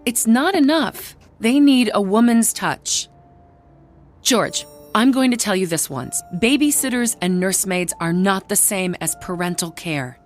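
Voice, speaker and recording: An actress playing an overbearing, disapproving aunt with an insistent, haughty manner in a radio drama. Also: female voice, mid-range voice, expressive, unhurried, close to an everyday voice. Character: overbearing, disapproving aunt fussing over bereaved children. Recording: studio radio play with music underneath.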